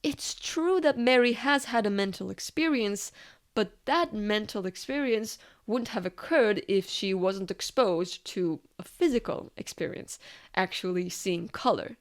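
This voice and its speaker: A woman's voice: mid-range voice, lively intonation, measured pace. A woman narrating a scripted video essay in a conversational, explanatory way with casual asides.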